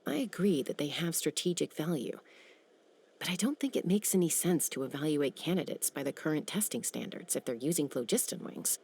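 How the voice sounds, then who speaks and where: A woman's voice: low-pitched, animated, a little fast, vocal fry, a little mellow, a little hiss or hum. An actress playing a young female warrior who speaks in a level-headed, analytical way. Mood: reasoned. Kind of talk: video game cutscene dialogue.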